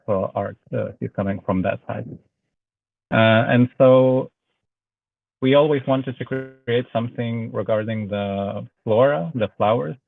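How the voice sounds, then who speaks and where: A man from Lithuania speaking English with a Lithuanian accent, a guest artist chatting casually, pausing often with filler sounds. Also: on the low side, gravelly, no room sound. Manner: hesitant, many filler sounds. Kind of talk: casual chat. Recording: tinny phone-like online call audio.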